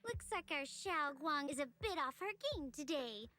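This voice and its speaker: A woman's voice: sits high, expressive, normal speed, sharp tone. A woman voicing a confident, teasing young female fighter in an anime-style video game.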